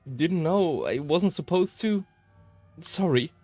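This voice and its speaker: A man's voice: on the high side, lively intonation, takes it slowly, a little mellow, no echo, voice pushed up. A man acts out a withdrawn, socially awkward visual novel hero. The voice is raised and timid, with a stammering manner. There is faint music behind it. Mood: apologetic. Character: awkward, withdrawn protagonist uneasy with people.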